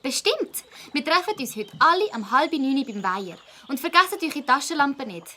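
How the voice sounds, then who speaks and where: A woman's voice: high-pitched, very animated, normal speed, sharp tone, a little hiss or hum, heavily acted. A woman playing an optimistic, determined girl in a children's audio drama, with a Swiss accent and an eager, persuasive manner. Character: optimistic, determined young girl among adventuring friends.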